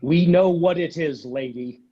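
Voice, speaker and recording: A man's voice: fairly high pitch, measured pace, mellow, natural voice. A man playing a pious, condemning medieval churchman in a dramatic script read. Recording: dull, lo-fi video call audio.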